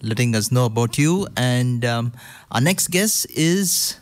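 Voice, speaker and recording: A man's voice: medium pitch, animated, unhurried. A man acting as host, thanking and introducing guest speakers at an event. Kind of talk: event hosting. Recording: clearly noisy background with clicks.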